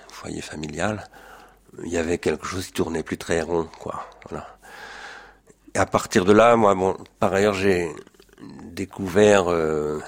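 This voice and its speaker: An older man from France with a deep, gravelly voice tells his life story in an interview. He speaks in a searching way, pausing to find the right word and amending what he has just said. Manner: hesitant, searching for words, self-correcting. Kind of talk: autobiographical recollection in an interview.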